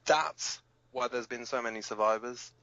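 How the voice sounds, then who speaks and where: A man's voice: mid-range voice, normal speed, a bit bright, dry recording, muffled recording, close to an everyday voice. A man playing a hot-headed, protective resistance fighter who hosts a rebel radio broadcast in an audio drama, blunt, emphatic and given to swearing. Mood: indignant, heated.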